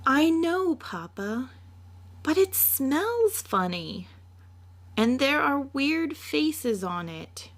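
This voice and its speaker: Woman voicing a high-pitched, adoring little girl. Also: sing-song, very slow, exaggerated voice. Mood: uneasy. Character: eager, adoring little girl, sweet and curious. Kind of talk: storybook character voice.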